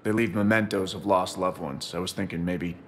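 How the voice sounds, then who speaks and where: A quiet, grieving pilot. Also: male voice, deep voice, steady tone, fairly quick. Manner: quiet, reflective. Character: grieving male shuttle pilot, quiet and reflective. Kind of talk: video game voice acting.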